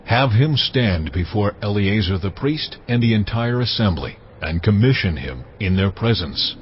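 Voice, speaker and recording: Low, commanding voice of God , acted by a man. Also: expressive, close to an everyday voice. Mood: solemn commanding. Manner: solemn, measured, commanding. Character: God, authoritative male Lord giving commands. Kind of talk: dramatized audio Bible acting. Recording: dull audio, faint background noise.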